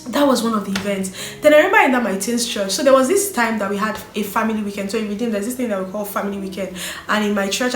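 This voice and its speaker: A woman telling her own life story in an earnest, animated way. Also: mid-range voice. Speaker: vlogger sharing her story. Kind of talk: personal storytelling. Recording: echoey room with faint music.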